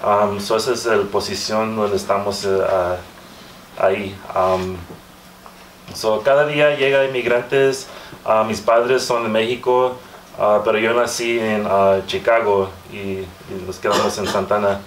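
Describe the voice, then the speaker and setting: Halting American man, slow and low. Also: little pitch movement. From Southern California, United States. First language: English. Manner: halting, searching for words in non-native Spanish. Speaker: activist panel speaker. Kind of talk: informal panel talk to an audience. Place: small room with hard walls, indoors. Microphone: far camera microphone. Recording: distant mic, echoey room, faint noise.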